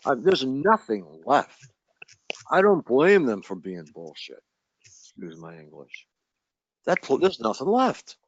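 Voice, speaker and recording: A man explaining things slowly, with pauses, during a casual group discussion. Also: mid-range voice, big pitch swings, slightly rough. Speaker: open mic participant. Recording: dry, dull lo-fi recording.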